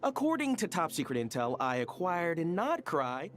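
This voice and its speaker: A woman's voice: on the low side, very animated, moderate pace, faint music behind. A woman voicing a smug, playful know-it-all in a video game, boastful and theatrical. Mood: smug, gleeful.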